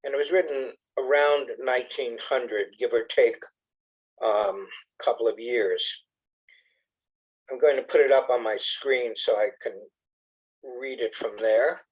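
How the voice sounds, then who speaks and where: A man reading poetry and introducing it informally to an audience, in a measured, expressive way. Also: mid-range voice, slightly rough, poor audio. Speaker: congregation member reading poetry.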